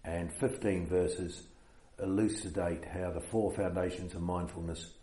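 A man with a New Zealand accent leading a meditation retreat, giving an unhurried dharma talk with many fillers. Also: sits low, fairly even intonation, dark tone, echoey room.

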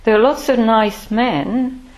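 A woman's voice: fairly high pitch, darkish tone, rough recording. Reflective older woman. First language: Finnish. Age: 60s. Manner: measured, thoughtful, pausing often. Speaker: Buddhist order member. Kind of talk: reflective personal life-story talk.

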